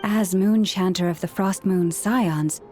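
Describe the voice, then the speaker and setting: A woman voicing a gentle, dignified priestess with antlers in a video game, speaking in a polite, formal and measured way. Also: medium pitch, soft background music, lightly acted. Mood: polite, composed.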